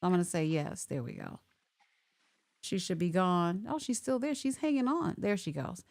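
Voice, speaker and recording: A woman hosting a casual online interview over a video call, chatty and animated as she works through connection glitches. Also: lowish voice, normal speed, gravelly. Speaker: host.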